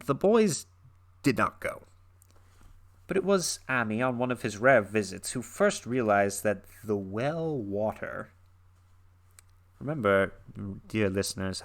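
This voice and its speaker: Bright-voiced man doing a dramatic horror reading. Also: medium pitch. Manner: dramatic, shifts between hushed whispers and raised, intense passages; casual and filler-heavy when recapping, with sarcastic asides. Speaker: reader and reviewer.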